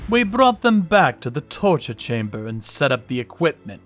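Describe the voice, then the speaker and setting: A man narrating a manga dub in a cold, unhurried, sadistic manner. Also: medium pitch, big pitch swings, a bit bright. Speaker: narrator and torturer character. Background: faint music.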